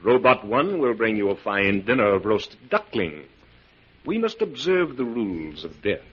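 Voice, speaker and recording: An actor playing an imperious, murderous mad professor in a theatrical, commanding 1940s radio style. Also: male voice, fairly low pitch, sing-song, normal speed, slightly rough. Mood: gloating. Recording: muffled, noisy 1940s radio recording.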